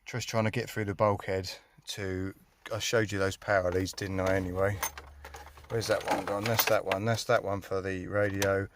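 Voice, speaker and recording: British man, lowish voice, casual DIY vlog chat, wry self-mocking grumbler, noticeable background noise with clicks. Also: level tone, normal speed. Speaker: hobbyist filming a car radio install. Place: inside a car.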